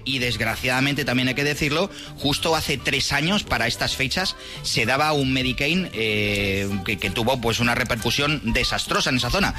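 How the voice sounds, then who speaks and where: A man's voice: mid-range voice, pushed and bright. Chatty Spanish weatherman over music. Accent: Spanish (Spain). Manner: chatty, playful diminutives. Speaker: meteorologist. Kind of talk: casual weather report on radio.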